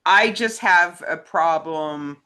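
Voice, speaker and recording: Woman, co-host, bright sharp voice, casual chat, informal and talkative. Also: deep voice, takes it slowly, smooth. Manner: chatty, laughs often while talking.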